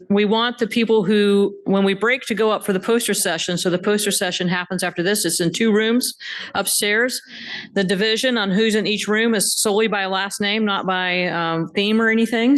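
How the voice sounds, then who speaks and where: A woman organizing a university symposium, giving loose, chatty housekeeping announcements to a conference hall crowd. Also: medium pitch. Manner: loose, chatty, self-correcting. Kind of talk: casual announcements to an audience.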